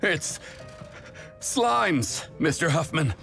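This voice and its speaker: A nervous junior guard from a video game. His voice is high and creaky, halting and slow as he reports in alarm. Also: big pitch swings, a bit bright, faint music behind, full character voice. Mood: panicked. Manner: hesitant, halting when reporting. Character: panicky, junior male guard, alarmed and deferential. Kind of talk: English video game voice acting.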